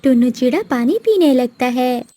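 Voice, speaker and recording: A woman tells a scripted story for children. Her voice is high and mellow, suited to narration of a simple tale. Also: animated, normal speed.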